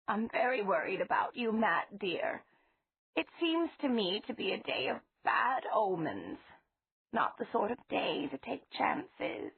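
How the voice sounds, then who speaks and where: A woman reading aloud, voicing a gentle, fussy elderly woman who is a witch, speaking softly and fondly with a husky tone. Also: on the high side, sing-song, exaggerated voice. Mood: worried. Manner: soft, fond, slow. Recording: tinny phone-like sound.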